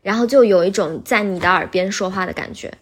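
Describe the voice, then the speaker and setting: A woman chatting casually as a podcast guest, explaining things in an animated way. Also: medium pitch, brisk, slightly rough.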